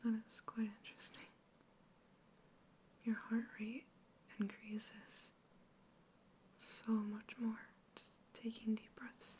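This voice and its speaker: A woman whispering gently through an ASMR roleplay, asking calm questions as an examiner. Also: fairly high pitch, slow, pauses often, slight background noise, rough recording. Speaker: ASMR artist playing an examiner.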